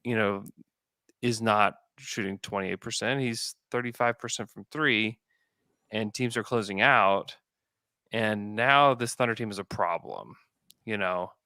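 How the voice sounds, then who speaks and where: A man's voice: lowish voice, unhurried, bright, forceful tone, no echo. A man hosting a sports podcast, discussing basketball in a casual, conversational way.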